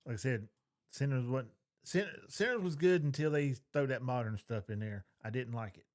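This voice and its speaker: Middle-aged male co-host, medium pitch, blunt and opinionated, casual chat. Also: moderate pace, a little mellow. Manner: blunt, repeats his point. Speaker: podcast co-host.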